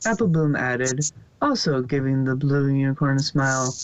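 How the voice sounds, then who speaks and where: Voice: medium pitch, animated, moderate pace, clean tone, dry recording. A narrator reading a fan story aloud in a plain way, often stumbling and restarting words. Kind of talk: amateur fanfic reading. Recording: dull lo-fi home recording.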